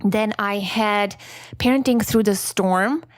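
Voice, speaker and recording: Candid non-native podcast host, dry sound. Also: female voice, medium pitch, slow, no echo. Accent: non-native English. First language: Armenian. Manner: candid, self-deprecating, with frequent laughs. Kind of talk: reflective solo podcast monologue.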